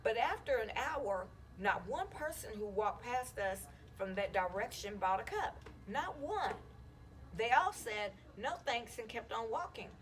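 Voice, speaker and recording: A woman's voice: a little high, expressive, a little slow. A woman voicing an eager young schemer of a boy in a storybook, keen and pushy. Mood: urgent.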